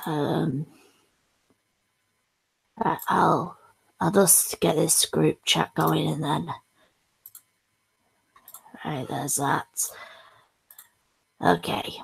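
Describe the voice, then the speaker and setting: A woman with a voice worn hoarse talks to her stream in a low, gravelly register. She moves slowly and haltingly, slipping into whispers as she sorts things out. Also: steady tone, low-quality recording. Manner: halting, whispery asides, laughs often. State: hoarse, has lost her voice. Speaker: streamer hosting a supporter stream. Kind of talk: casual chat.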